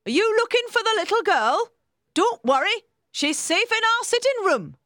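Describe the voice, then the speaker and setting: A woman voicing a cheerful, reassuring housekeeper in an audiobook story, with a bright, exclaiming manner. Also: very high voice, sing-song, moderate pace, no echo, studio quality, voice pushed up, full character voice.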